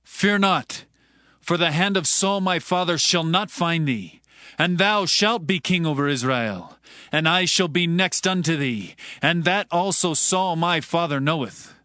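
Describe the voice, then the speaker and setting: A faithful young prince in an audio bible, encouraging, highish clear-cut bright voice. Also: male voice, unhurried, dry recording, clean studio sound. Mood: earnest reassurance. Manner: earnest, encouraging declamation. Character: loyal, reassuring young prince. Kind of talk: dramatized audio Bible acting.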